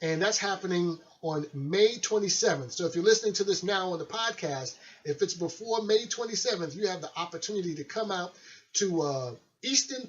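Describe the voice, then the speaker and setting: Chatty radio DJ man, lo-fi sound. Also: sits high. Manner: chatty, friendly, loose. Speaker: radio host and DJ. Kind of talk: casual radio show talk. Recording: dull lo-fi recording.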